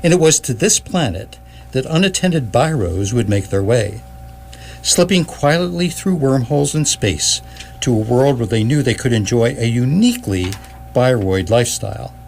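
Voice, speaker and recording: A man playing a knowing guidebook narrator in live radio theatre, with a wry, measured, theatrical delivery. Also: mid-range voice, vocal fry, a little mellow. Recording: noisy live stage recording with hum.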